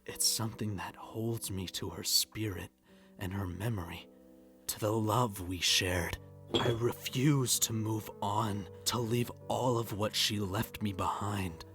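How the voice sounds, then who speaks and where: A man's voice: lowish voice, fairly even intonation, unhurried, a bit bright, soft background music. An actor playing a bitter, unhinged widowed middle-aged father, sardonic and menacing, in a dramatic comic dub. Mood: brooding, menacing.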